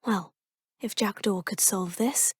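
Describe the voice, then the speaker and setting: A woman's voice: slow, slightly rough, no echo, clean studio sound. A polite witch student. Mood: polite, curious. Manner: polite, composed, often musing in low asides. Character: curious, polite young witch student, female. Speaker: player character, a young witch student. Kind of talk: video game voice lines. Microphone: close, dry studio mic.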